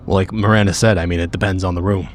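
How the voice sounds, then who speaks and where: A man's voice: sits low, fairly even intonation, quick pace, slight background noise, natural voice. A man playing a blunt, practical manhunter agent in a tabletop roleplay, plain-spoken and dry. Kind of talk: improvised tabletop roleplay dialogue.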